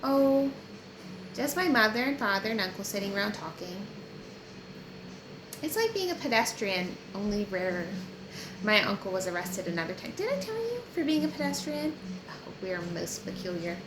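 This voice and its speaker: A woman's voice: medium pitch, sing-song, normal speed, close to an everyday voice. Woman reading as a curious teenage girl, echoey room. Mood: bright, musing. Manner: inquisitive, earnest. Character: curious, chatty, guileless teenage girl in a novel. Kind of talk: audiobook character reading. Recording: roomy echo with clear background noise.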